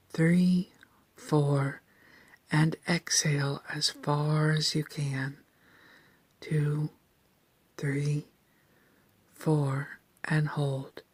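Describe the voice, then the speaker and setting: A woman narrating a scripted guided meditation in a soft, breathy, near-whispered voice, calm, deliberate and soothing. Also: sits low, no room sound.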